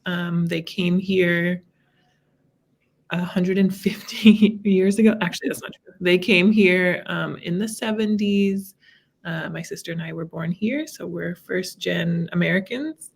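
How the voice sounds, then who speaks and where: A woman's voice: on the low side, fairly even intonation, takes it slowly, gravelly. A woman from Los Angeles, a therapist guesting on a podcast, chatting casually in a bubbly, self-mocking way. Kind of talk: casual chat.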